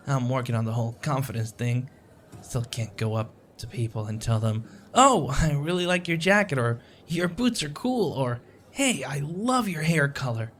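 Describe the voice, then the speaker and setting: A man's voice: on the high side, a little hiss or hum. A man acting a shy, anxious, sweet young stranger in an audio roleplay, rambling with hesitant stammers and self-deprecating apologies. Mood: shy, earnest. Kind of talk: audio roleplay, intimate one-sided conversation. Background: coffee shop ambience.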